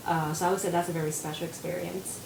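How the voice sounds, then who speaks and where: A woman's voice: lowish voice, flat delivery, quick pace, gravelly. Young Taiwanese woman counselor, earnest. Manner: earnest, with many fillers. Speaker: mental health counselor. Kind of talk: casual panel discussion. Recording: echoey room with audible noise.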